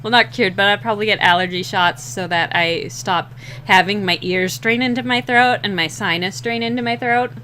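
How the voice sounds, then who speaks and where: A young woman with a slightly rough, scratchy voice, rambling and laughing at herself in a casual chat while drawing, short on sleep. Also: medium pitch, normal speed, pushed and bright. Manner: rambling, giggly, self-deprecating. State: sleep-deprived. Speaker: digital artist streaming a drawing session.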